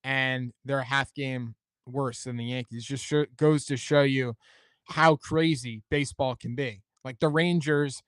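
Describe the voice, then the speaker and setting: A man's voice: medium pitch, fairly even intonation, unhurried, a bit bright, smooth, no room sound, clean studio sound. A man hosting a sports talk show, chatting casually and thinking aloud as he weighs his opinions. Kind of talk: casual sports commentary chat.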